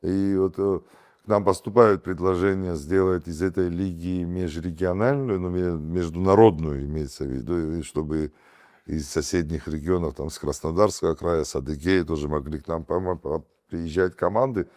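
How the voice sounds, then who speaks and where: Very low-voiced Abkhaz man. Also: moderate pace. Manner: animated, with many fillers and restarts. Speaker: guest, comedy team captain and producer. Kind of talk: casual interview talk.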